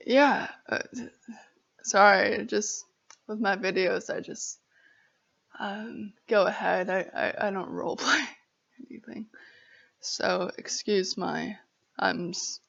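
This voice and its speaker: An American woman chats casually to camera with a stutter and a creaky voice. She speaks very slowly and haltingly, apologetic and giggly as she goes. The audio is dull and slightly muffled. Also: medium pitch, fairly even intonation, a bit bright. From Arizona, United States. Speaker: vlogger.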